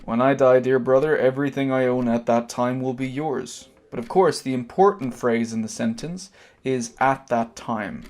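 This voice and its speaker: An actor as a composed, sardonic Irish emigrant. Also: male voice, medium pitch, a little mellow, natural voice. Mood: firm, sardonic. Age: middle-aged. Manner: measured, formal, articulate. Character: composed, sardonic returned emigrant, wealthy middle-aged brother. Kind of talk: stage drama acting.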